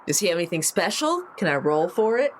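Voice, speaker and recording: A woman's voice: fairly low pitch, very animated, quick pace, a little hiss or hum. A woman chatting casually as a player in a tabletop role-playing game. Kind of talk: casual chat.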